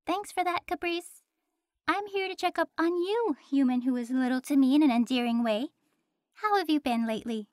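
Woman playing a very high-voiced earnest angel. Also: moderate pace, dry recording, studio quality, lightly acted. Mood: caring, earnest. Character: earnest, prim, flustered young female angel. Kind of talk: audio roleplay acting.

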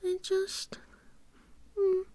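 Shy, cutesy British girlfriend for ASMR roleplay: extremely high, very slow, soft and whispery. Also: completely flat delivery, no room sound, exaggerated voice. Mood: timid, soft. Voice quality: soft, breathy, often whispery. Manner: timid, cutesy, hesitant. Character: shy, childlike, sweet submissive young girlfriend. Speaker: audio roleplay performer.

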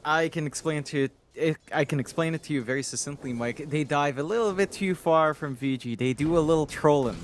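A man's voice: on the high side, brisk, hardly any pauses, slight background noise. A man giving analytical commentary on a video game match, explaining builds and lanes with playful asides. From Philippines. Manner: explanatory, playful, self-deprecating jokes. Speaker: esports color commentator.

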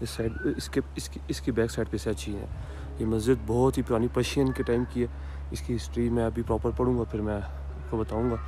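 A man's voice: mid-range voice, flat delivery, brisk, mellow, slight background noise. A man with a Pakistani accent gives casual travel vlog commentary on location, speaking into a handheld camera. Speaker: travel vlogger. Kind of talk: casual vlog commentary while showing sights. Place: on location while touring, mostly outdoors. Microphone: handheld camera or phone. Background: background music.

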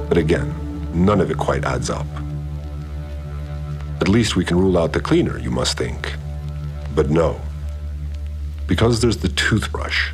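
An actor playing a brooding, methodical investigator in an audio drama, speaking in a measured, deliberate, analytical way. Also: male voice, extremely low pitch, exaggerated voice. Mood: doubtful, musing. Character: methodical, brooding male investigator puzzling over a murder. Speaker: narrator investigator. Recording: music behind the voice. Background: music.

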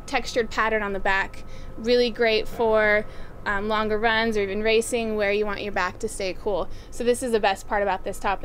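A young woman talks through new running gear outdoors, her voice sitting a bit high. She sounds upbeat and casual and fills her pauses with "um". A faint hum of outdoor noise stays under her voice. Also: a bit bright. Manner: upbeat, with frequent "um" fillers. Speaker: store product presenter. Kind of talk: casual product rundown. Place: outdoors by farm fields.

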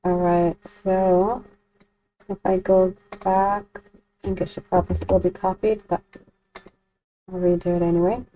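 Dull lo-fi voice-over, woman, unhurried, informal hesitant tutorial delivery, thinking aloud. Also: on the low side, little pitch movement. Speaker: tutorial presenter. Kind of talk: unscripted software demo walkthrough. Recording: dull, lo-fi, dry close recording.

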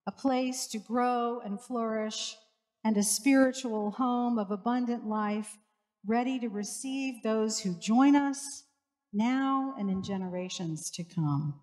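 Woman pastor, forties, measured sermon with repeated phrases, headset mic, reverberant church hall. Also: on the high side, a little mellow. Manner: slow, measured preaching with rhythmic repetition. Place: church sanctuary with large lake-view windows.

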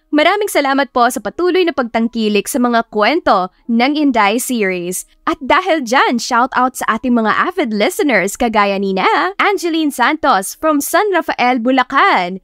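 A woman's voice: high voice, expressive, normal speed, fairly bright tone, no room sound, clean studio sound. A woman narrating a scripted romantic story as an audiobook narrator.

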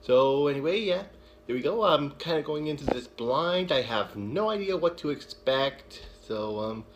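A man in his twenties giving casual live reaction commentary in a musing way, with a little trouble on th sounds. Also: medium pitch. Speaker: host of a reaction video. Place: small living room, indoors. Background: music and video audio.

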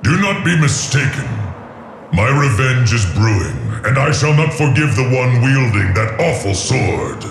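An actor playing a huge, vengeful male dragon king who speaks in booming, archaic proclamations. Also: sing-song, a little slow, slight background noise. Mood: stern. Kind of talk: video game cutscene acting. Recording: roomy with loud game music.

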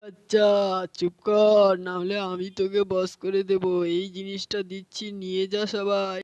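A woman's voice: mid-range voice, darkish tone, dry recording, muffled recording, pitched below the performer's own voice, natural voice. A woman voicing a commanding, chanting village shaman in a children's puppet story. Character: bossy village shaman casting spells.

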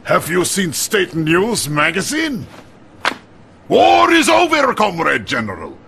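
An actor playing a jovial, pompous middle-aged Soviet premier with a Russian accent in a video game cutscene. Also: male voice, sits high, wildly swooping pitch, fairly bright tone, a little hiss or hum, full character voice. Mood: rousing, triumphant. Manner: pompous, theatrical, slow deliberate delivery.

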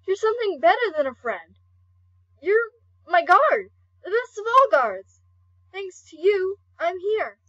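A woman voicing a gentle, tearful, kindhearted young male skeleton guardian in an earnest, pleading comic dub. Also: extremely high pitch, very animated, unhurried, heavily acted. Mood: earnest, fond. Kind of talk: amateur comic dub acting. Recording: dull lo-fi home recording.

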